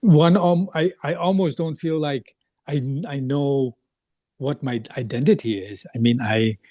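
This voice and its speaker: A man from Burma who grew up in Thailand, with Thai as his first language, speaking in a panel talk. He pauses often, restarting with many fillers as he searches for words. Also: fairly high pitch, mellow, no room sound, clean studio sound. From Burma, raised in Thailand. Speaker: artist.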